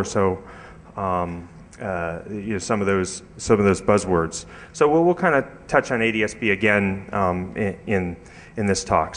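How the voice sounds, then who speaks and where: A man giving an informal technical conference talk with slides, speaking haltingly with frequent hesitations and repeated words. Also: lowish voice, a bit bright. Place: large indoor conference hall. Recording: echoey hall with long reverb.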